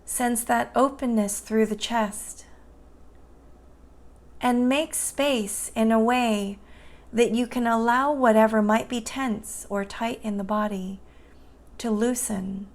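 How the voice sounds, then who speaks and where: Slow, soothing woman guiding meditation. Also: fairly high pitch, steady tone. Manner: soothing, unhurried, gentle. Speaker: meditation guide. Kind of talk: guided meditation narration.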